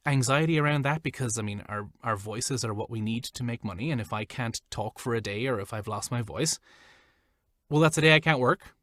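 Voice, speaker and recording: A man's voice: medium pitch, a bit bright, no echo. Male voiceover guest, casual and rambling, dry close mic. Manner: chatty, loose, lots of "like" fillers. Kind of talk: casual interview chat.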